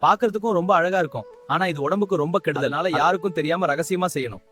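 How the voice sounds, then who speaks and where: Man acting high-voiced earnest village man. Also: quick pace, a bit bright, close to an everyday voice. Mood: persuasive. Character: village men in a moral tale, earnest and plain-spoken. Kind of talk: animated story dialogue.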